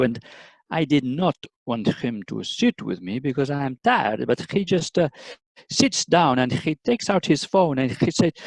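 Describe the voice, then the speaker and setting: A man playing a haughty Spanish set designer in a comic radio play, with a Spanish accent and blunt, halting English. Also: mid-range voice, gravelly, darkish tone, no room sound.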